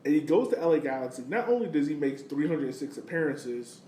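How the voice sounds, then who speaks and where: A man's voice: fairly high pitch, lively intonation, a little fast, dark tone. A man of thirty hosting a podcast, talking sport in a casual, slangy and enthusiastic way. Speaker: podcast host. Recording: roomy, distant mic, faint noise.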